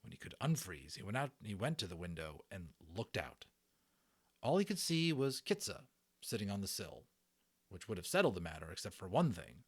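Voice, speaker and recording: A man's voice: sits low, sing-song, normal speed, breathy edge. A man narrating a children's audiobook as a dramatic reading, with casual explanatory asides.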